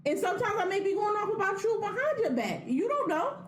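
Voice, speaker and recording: A woman's voice: extremely high pitch, big pitch swings, fast, echoey room, a little hiss or hum. A woman whose first language is not English, co-hosting a podcast in casual chat and holding her point firmly. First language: a language other than English. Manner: firm, repeats herself when making a point. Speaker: podcast co-host.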